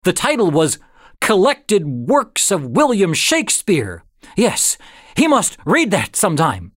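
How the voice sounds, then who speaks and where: A man narrating a story as an audiobook reader, in an expressive, deliberate manner with dramatic pauses. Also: high-pitched, no echo, clean studio sound.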